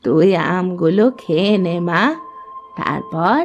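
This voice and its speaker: A woman's voice: fairly low pitch, takes it slowly, highs cut off, soft background music. A woman voicing a kindly old village woman who speaks in a motherly, coaxing way in a cartoon story. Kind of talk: animated moral story acting.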